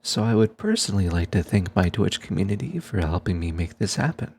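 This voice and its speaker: A man's voice: low-pitched, big pitch swings, fairly quick, a little mellow, slightly rough. A man who makes ASMR videos, giving a scripted announcement to his viewers. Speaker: ASMR voice actor and streamer.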